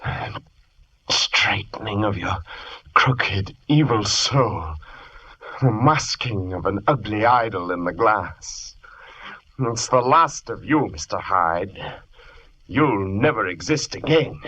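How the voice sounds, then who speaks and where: A sinister, defiant villain of old radio horror, with a very low, forceful voice. He speaks slowly and theatrically, curt and menacing, on a muffled, noisy old recording. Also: animated, slightly rough, exaggerated voice. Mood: feverish triumph.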